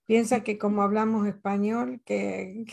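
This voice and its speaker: A woman's voice: mid-range voice, fairly even intonation, a little slow, gravelly, a little mellow. An older Latin American woman talking in a casual conversation, earnest and reflective, telling personal stories. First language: Spanish.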